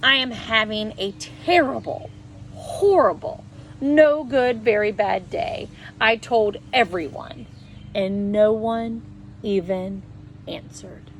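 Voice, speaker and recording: A woman reads a children's story aloud at a medium pitch. She is animated and expressive, leaning on key words and stretching some out. There is some faint noise behind her voice. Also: poor audio. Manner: animated, storytelling with emphatic stresses and drawn-out words. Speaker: read-aloud presenter. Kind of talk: expressive children's picture book read-aloud.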